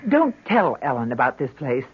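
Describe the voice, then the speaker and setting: A woman playing a caring, anxious middle-aged wife and mother in a 1970s radio drama, fussing and gently chiding. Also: very deep voice, very animated, moderate pace, husky, exaggerated voice. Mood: tender, worried. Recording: muffled 1970s radio recording.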